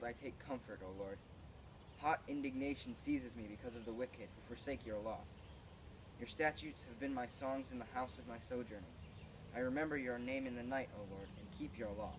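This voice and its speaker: A man reading scripture aloud. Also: mid-range voice. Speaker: reader. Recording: clear ambient background noise.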